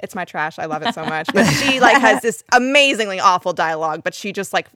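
A woman's voice: mid-range voice, expressive, brisk, pushed and bright, no room sound, studio quality. A woman voice actor chatting on a panel, gushing and often saying "like.". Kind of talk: casual panel chat.

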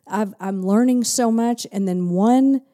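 Warm-toned woman, candid and teasing. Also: medium pitch, measured pace. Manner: candid, teasing, laughs easily. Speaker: podcast co-host and executive pastor. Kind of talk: casual married-couple podcast chat.

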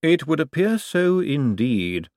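A man voicing a cool, calculating older ruler who speaks dry, precise and deliberate in an audiobook. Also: fairly high pitch, big pitch swings, darkish tone, no echo, voice pushed up. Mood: dry, knowing.

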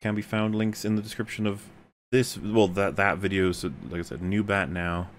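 A man's voice: on the low side, level tone, normal speed, slight background noise. A man hosting a casual group podcast, talking in a rambling, joking and sometimes profane way. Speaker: podcast host. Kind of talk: casual group chat commentary.

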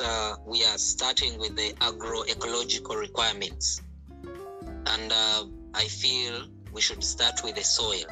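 A man hosting an online panel over call audio, polite and hesitant with frequent ums. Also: on the low side. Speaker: host, panel moderator.

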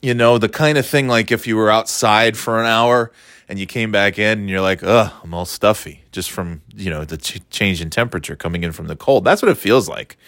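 A middle-aged man with a slightly stuffy nose, hosting a rambling solo chat, meandering and breaking off mid-thought, then getting playfully excited. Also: fairly low pitch, brisk, dry recording, clean studio sound. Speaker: podcast host.

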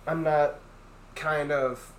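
Loud young man in an echoey room. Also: level tone, slow. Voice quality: loud deep voice that carries. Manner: rambling, many restarts and fillers. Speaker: podcast host. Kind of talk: casual chat. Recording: echoey room, faint ambient noise.

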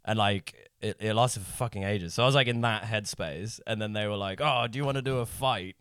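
A man's voice: lowish voice, fairly quick, fairly bright tone, a little hiss or hum. A man in his thirties, a comedian guest on a casual podcast, telling wild stories with lots of laughter and swearing. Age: 30s.

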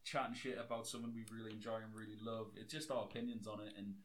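Hoarse-voiced man, lowish pitch. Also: fast, slight background noise. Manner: excitable, swears freely, repeats himself. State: hoarse, voice nearly gone. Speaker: podcast co-host. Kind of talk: casual chat.

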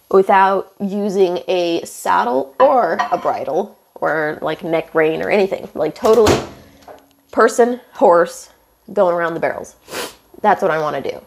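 A young woman hosting a podcast, hoarse and stuffed up from illness, rambling casually with lots of fillers and apologies while walking around her house on a headphone mic. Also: medium pitch, a little hiss or hum. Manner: meandering, many fillers and apologies, casual swearing. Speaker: podcast host. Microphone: headphone mic into phone.

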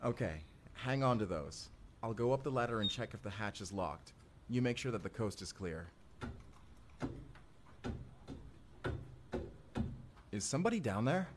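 A man's voice: on the low side, very animated, brisk, background noise. A man playing a young, friendly time traveler in an audio roleplay, talking casually and intimately straight to the listener. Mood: surprised, playful. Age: young adult. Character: friendly, chatty male time traveler, college-aged-looking, eager and cautious. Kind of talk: first-person immersive audio roleplay acting.

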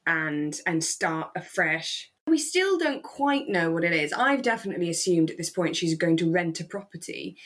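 Lowish-voiced woman in relaxed podcast chat. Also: moderate pace, hardly any pauses. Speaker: podcast co-host. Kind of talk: casual discussion of a radio drama.

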